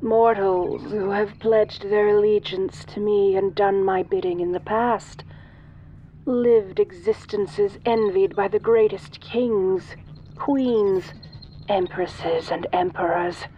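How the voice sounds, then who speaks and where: Audio roleplay: actress as sinister godlike alien woman, unhurried formal delivery, soft and domineering; dull sound with faint noise. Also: medium pitch, fairly even intonation, close to an everyday voice. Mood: coaxing, haughty. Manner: slow, imperious, formal, silky. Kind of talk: soft-voiced audio roleplay, addressing the listener.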